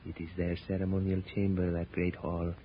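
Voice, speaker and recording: Man acting a calculating male schemer, deep voice, formal phrasing, commanding, old radio drama, dull hissy sound. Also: monotone, fairly quick, natural voice. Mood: cool, scheming. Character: scheming, commanding treasure-hunting conspirator, coolly calculating. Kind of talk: 1930s radio adventure serial acting. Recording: muffled, noisy 1930s radio recording.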